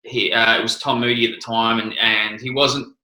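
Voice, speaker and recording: Aussie guy in an interview, real bright sound, keeps restarting his sentences. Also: medium pitch, level tone, moderate pace, roomy sound, rough recording. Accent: Australian. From Australia. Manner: hesitant, many restarts and ums. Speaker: interview guest, former cricketer. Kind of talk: casual interview answers.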